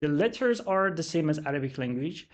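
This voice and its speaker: A young man from Iran speaking English with a Persian accent as a podcast guest, explaining his culture in a measured way. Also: fairly high pitch, expressive. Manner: measured, explanatory, frequent "definitely" and "actually".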